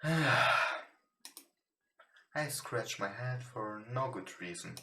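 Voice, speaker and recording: A man who is not a native English speaker, reading a visual novel aloud with casual commentary in a somewhat halting way. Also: lowish voice, pushed and bright, a little hiss or hum. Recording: roomy, echoing home recording. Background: game music.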